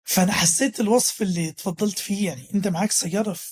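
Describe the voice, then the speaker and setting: A man in his thirties with a Levantine Arabic accent shares his experience as an interview guest. His voice is husky and his speech is quick. He stumbles and restarts words as he goes. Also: sits high. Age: 30s. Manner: halting, restarts words, mixes in English terms. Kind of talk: casual interview, telling his story.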